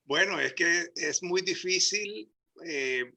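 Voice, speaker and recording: A man from Nicaragua on a discussion panel, speaking in a measured, thoughtful way with pauses. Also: high voice, gravelly, sharp tone.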